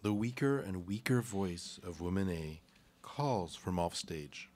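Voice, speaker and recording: A man's voice: deep voice, expressive, measured pace, darkish tone. A man reading stage directions as scripted narration for a radio play.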